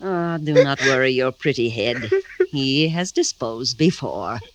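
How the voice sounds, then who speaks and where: An actress playing a loyal, practical, conspiring maidservant in a theatrical old radio drama style. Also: female voice, very deep voice, animated, unhurried. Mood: conspiratorial, soothing. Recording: noisy old radio recording.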